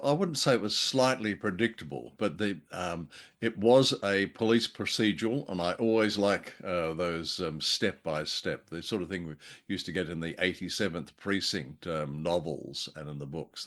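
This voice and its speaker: A man co-hosting a conversational review of radio drama, speaking in a halting, wry way. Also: deep voice, fairly bright tone. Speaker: co-host and commentator.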